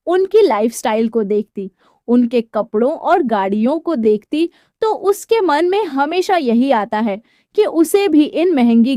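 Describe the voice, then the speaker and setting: A woman's voice: a little high, mellow, dry recording. A woman narrating a scripted story in a dramatic storytelling style, recorded as a close voice-over.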